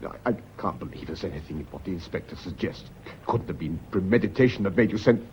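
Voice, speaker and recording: An actor with a British accent playing a tormented, suspicious widower in a radio drama, stammering, troubled and insistent. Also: male voice, low-pitched, animated, fast, a little mellow. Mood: suspicious, desperate. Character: Gregory, tormented, suspicious widower in love with Elizabeth. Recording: dull lo-fi radio recording, faint noise.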